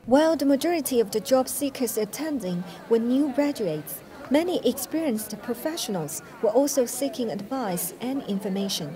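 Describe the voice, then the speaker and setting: A woman's voice: a little high, expressive, moderate pace, hardly any pauses, crowd noise, poor audio. A woman reporter reading a scripted news narration.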